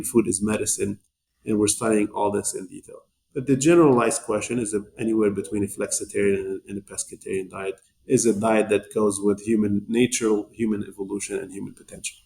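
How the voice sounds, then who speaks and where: A man's voice: fairly low pitch, vocal fry, a little mellow, slight background noise. A man, talking as a podcast guest and explaining things in a rambling way, often repeating words as he restarts phrases. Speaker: podcast guest, nutrition company executive. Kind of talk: conversational interview explanation.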